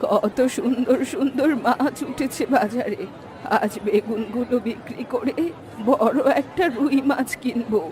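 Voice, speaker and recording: A woman voicing a cheerful, chatty old village vegetable seller in an animated fairy tale cartoon, with a folksy way of talking. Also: on the high side, a little slow, a faint crowd, slight background noise, close to an everyday voice. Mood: friendly, bossy. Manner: folksy, talks to herself aloud.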